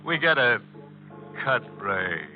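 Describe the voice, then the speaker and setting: Creepy old-time radio horror host, slow and swooping, gleefully morbid. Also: male voice, medium pitch, animated. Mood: gleeful, macabre. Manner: mock-genteel, savoring grisly puns. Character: ghoulish, gleefully macabre male horror show host. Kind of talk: 1940s radio horror hosting. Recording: muffled 1940s radio recording, organ music.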